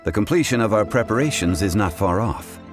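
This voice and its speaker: An actor playing a refined, calm, scholarly gentleman in a video game, speaking in a formal, measured, ponderous way. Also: on the low side, close to an everyday voice. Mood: composed, musing. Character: refined, scholarly gentleman, calm and absent-minded about money. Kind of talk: video game dialogue acting. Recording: music playing behind. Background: background music.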